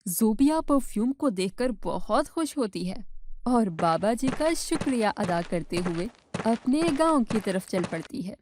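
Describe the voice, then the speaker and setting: A woman's voice: fairly high pitch, lively intonation, a little slow, darkish tone, slight background noise. A woman reading scripted storybook narration as the narrator.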